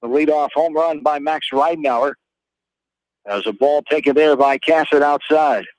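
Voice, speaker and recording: An American man calling a baseball game live on the radio as play-by-play announcer, in terse, clipped phrases with pauses. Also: a little high, dry recording, low-quality recording.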